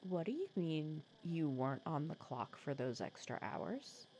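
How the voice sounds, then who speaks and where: A woman playing a wry, protective supervillain nemesis who talks softly and teasingly in a comforting ASMR roleplay audio drama. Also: deep voice, very animated, a little slow, slight background noise. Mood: indignant, protective. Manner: soft-spoken, teasing, conversational with hesitations.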